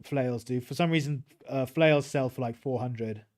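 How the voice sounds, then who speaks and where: A man's voice: medium pitch, normal speed, darkish tone. A British man casually commentating his gameplay on a livestream and chatting with his viewers. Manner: easygoing, chats with viewers while playing. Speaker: streamer.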